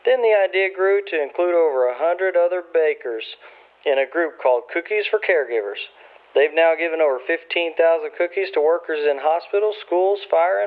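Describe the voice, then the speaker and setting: Upbeat male voicemail caller for radio play played by a man, friendly, highish, phone-filtered sound. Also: close to an everyday voice. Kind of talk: scripted radio play acting. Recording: tinny phone-like voicemail line.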